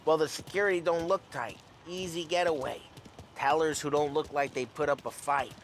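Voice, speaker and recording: A man's voice: a little high, fairly even intonation, moderate pace, slight background noise, natural voice. An actor playing a hot-headed American mobster henchman in a cinematic crime game, talking in blunt streetwise slang. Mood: low, persuasive. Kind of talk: cinematic crime drama acting.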